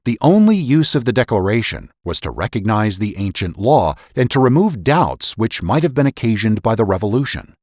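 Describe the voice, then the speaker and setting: A man with a low voice gives a dramatic, formal reading as a narrator. His pitch rises and falls widely as he stresses key phrases like an orator. The sound is dry and close. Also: very animated, normal speed, few pauses, a little mellow, dry recording. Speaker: podcast host and narrator. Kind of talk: dramatic reading of historical political essays.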